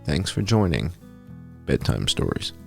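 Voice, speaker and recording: A man's voice: very deep voice, sing-song, a little slow, creaky, music in the background. A man reading a scripted essay aloud as a narrator, in the style of a bedtime story.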